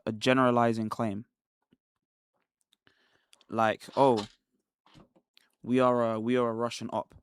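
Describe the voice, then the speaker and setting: A man from Nigeria hosting a casual commentary livestream, talking in an animated, rhetorical way with laughs between points. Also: mid-range voice, clean tone, no room sound, clean studio sound. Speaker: livestream host.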